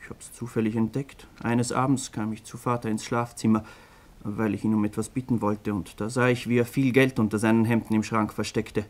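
An actor playing a troubled, ashamed teenage son in a German radio crime drama, speaking earnestly and hesitantly, as if confessing. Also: male voice, fairly low pitch, level tone, fairly quick, a little mellow, close to an everyday voice. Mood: bitter confession.

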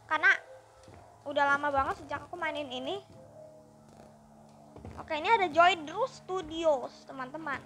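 A gaming YouTuber giving upbeat, chatty let's-play commentary and constantly addressing the viewers. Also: female voice, very high voice, pushed and bright, a little hiss or hum.

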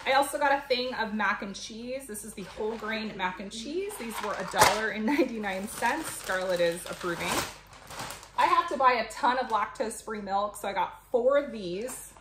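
A woman's voice: on the high side, lively intonation, moderate pace. Woman chatting in an echoey, noisy kitchen. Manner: chatty, explanatory. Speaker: vlogger, mother showing a grocery haul. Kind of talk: casual chat to camera. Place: home kitchen, indoors. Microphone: camera a few feet away.

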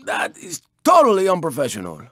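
A man's voice: high voice, wildly swooping pitch, fairly quick, creaky, fairly bright tone, dry recording, clean studio sound, heavily acted. A man voicing a cocky, flamboyant race car in a video game, with a Spanish accent and a boastful, dramatic manner. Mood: indignant, dismayed. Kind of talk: theatrical video game character barks.

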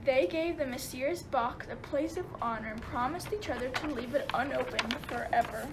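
A high-pitched storyteller, played by a woman narrates a comic Greek myth. It is scripted radio play narration. Clear background noise sits on the recording. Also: moderate pace. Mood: storytelling, dramatic.

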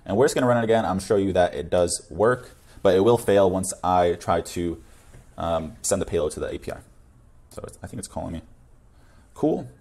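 A man's voice: low voice, fairly quick, mellow. A young man presenting a coding tutorial in a casual screencast style. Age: young adult.

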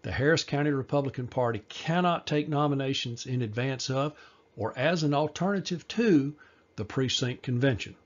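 A man reading a scripted informational voice-over, measured and explanatory, slowing down for key details. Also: mid-range voice, dull audio. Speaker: party presenter.

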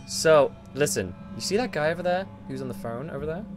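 A young man voicing a hotel owner in an improvised game roleplay, excitable and quick to exclaim. His slightly high voice swings up and down all over the place. Faint music plays behind him.

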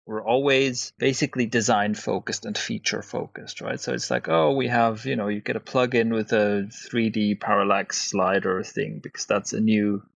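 A man's voice: lowish voice, steady tone, moderate pace, vocal fry, hardly any pauses, no echo. A man from Switzerland, a guest entrepreneur, explaining his business in casual interview answers, plain-spoken and tagging points with "right?".

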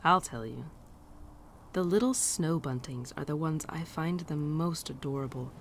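A woman playing a gentle, teasing winter spirit in a girlfriend ASMR roleplay, speaking softly and tenderly, often dropping to a near-whisper. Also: low-pitched, unhurried, creaky, slight background noise. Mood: tender, fond. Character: gentle, teasing female winter spirit, affectionate old friend. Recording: birdsong and outdoor ambience behind.

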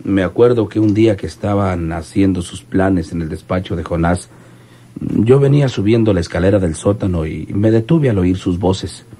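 An actor in a radionovela playing a wary informant who talks hesitantly, in folksy rural phrasing. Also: male voice, low-pitched, moderate pace, mellow. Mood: wary, confiding. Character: wary male informant recounting an overheard murder plot. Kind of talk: old radionovela acting.

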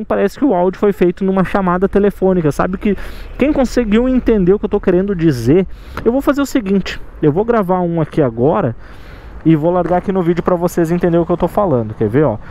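A man's voice: high-pitched, mellow, slight background noise. A Brazilian man giving a casual, conversational tutorial to his viewers, often checking in with short tag questions. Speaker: motorcycle courier video host.

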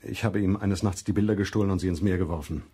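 Husky, cornered murder suspect. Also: male voice, low voice, steady tone, quick pace, mellow. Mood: confessing. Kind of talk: German crime radio play acting.

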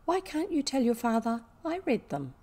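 A woman voicing a bright, imaginative schoolgirl in a storybook, earnest and deliberate, with old-fashioned kindness. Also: fairly high pitch, huge pitch swings, slightly rough, voice pushed up, exaggerated voice. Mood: thoughtful.

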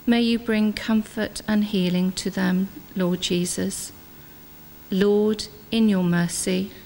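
An Englishwoman reading prayers in a measured, solemn way as she leads a church congregation. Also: medium pitch, fairly even intonation, creaky, dark tone, a little hiss or hum. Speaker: intercessor leading congregational prayers. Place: inside a parish church. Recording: echoey church hall reverb.

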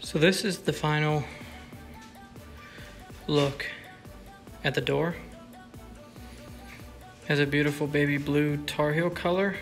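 A man's voice: on the high side, steady tone, takes it slowly, pauses often. A young man casually walking through the steps of a woodworking job, plainly and step by step, with fillers, filmed on a phone in a large shop. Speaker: woodworker demonstrating. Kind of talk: casual how-to walkthrough. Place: large woodworking shop and spray booth, indoors and out. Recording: echoey shop with music behind.

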